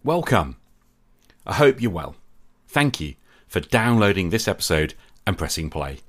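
A man's voice: low-pitched, sing-song, measured pace. A middle-aged man hosting a solo podcast, reflective and candid with a wry, self-deprecating humour. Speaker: podcast host.

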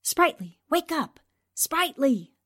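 A woman acting a sharp, confident young newspaperwoman in a 1940s-style radio drama, crisp and assured as she reasons things out. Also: high-pitched, sing-song, takes it slowly, full character voice. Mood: alarmed. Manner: crisp, assured, explaining deductions.

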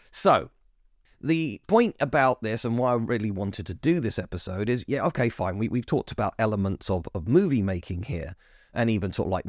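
Chatty man, low dry voice. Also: no room sound. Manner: chatty, self-interrupting, emphatic. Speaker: podcast host. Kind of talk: casual history chat.

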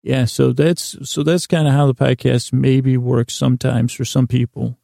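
A man hosting a bedtime podcast in a rambling, whimsical way, halting and repeating himself, close to the mic in a small closet. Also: medium pitch, flat delivery, vocal fry, mellow, dry recording. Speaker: podcast host. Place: small closet used for recording. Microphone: close mic in a small recording closet.

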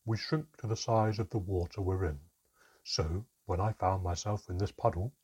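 A man voicing a tiny, proud female mermaid with a deep, strong voice and a sarcastic, sneering, bitter manner. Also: moderate pace, darkish tone, slightly rough. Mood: haughty, dismissive.

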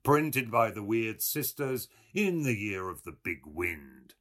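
A man's voice: on the low side, sing-song, takes it slowly, a bit bright, voice pushed up, heavily acted. A man voicing a brash, mocking young man with a mock-solemn, declamatory manner in a storybook reading. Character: brash, mocking, theatrical young Irish man, boisterous joker.

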